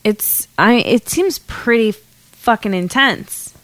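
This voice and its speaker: An American woman in her thirties chats as a podcast co-host. Her pitch moves around freely and her delivery is irreverent and exclamatory. The sound is close and dry, suited to loose, sweary banter. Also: animated, slow, a bit bright, no echo. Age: 30s. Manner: irreverent, profane, exclamatory, giggly. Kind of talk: casual banter about the news.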